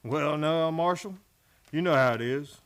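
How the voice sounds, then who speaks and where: A man's voice: a little high, measured pace, a bit bright. A man acting a folksy, defensive Old West barkeeper in a radio play, dodging and explaining. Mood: evasive, defensive.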